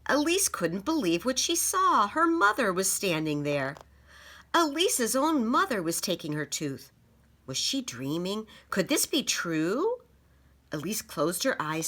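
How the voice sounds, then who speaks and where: A woman reading a children's story aloud as an expressive narrator. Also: lowish voice. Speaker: storybook reader.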